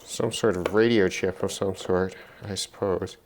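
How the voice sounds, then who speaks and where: A middle-aged man giving unscripted commentary on an electronics teardown, halting and musing as he thinks aloud. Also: low-pitched, steady tone, darkish tone, slight background noise. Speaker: electronics hobbyist presenter.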